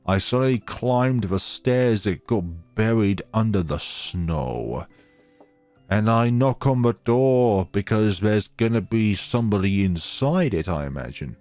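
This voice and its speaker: Male let's player, lowish voice, highly expressive dramatic read with offhand commentary, slightly roomy sound over faint music. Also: slow pace. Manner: dramatic reading with casual asides. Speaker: gaming channel host. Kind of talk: let's play, reading visual novel text aloud with commentary.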